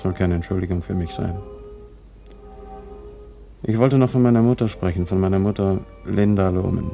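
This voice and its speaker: An actor playing a cocky yet reflective young son who narrates his family's story in a vintage radio drama, deliberate and confiding. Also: deep voice, creaky, dark tone. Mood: self-assured. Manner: deliberate, confiding, self-assured. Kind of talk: 1950s German radio drama, narrator monologue.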